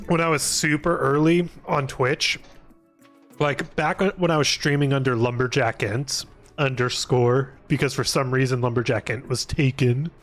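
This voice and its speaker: A man's voice: medium pitch, fairly even intonation, normal speed, soft background music. Rambling, jokey man streaming a game. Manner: rambling, thinking aloud, jokey. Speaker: video game livestreamer. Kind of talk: casual gaming stream commentary.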